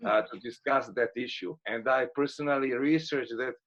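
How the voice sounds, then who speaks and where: A middle-aged man moderating an academic panel on a video call from home, speaking with many fillers and pauses. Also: medium pitch, fairly even intonation, a bit bright. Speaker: workshop moderator. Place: home room, indoors. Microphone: laptop webcam video call. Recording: slightly dull video call audio.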